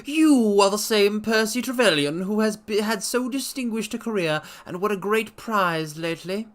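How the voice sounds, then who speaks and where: A man voicing a blustery, bustling gentleman investor who talks bluntly and eagerly in a dramatic story reading. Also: sits high, animated, moderate pace, pitched above the performer's own voice. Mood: brisk, pushy. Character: blustery, pushy gentleman investor with money to spend.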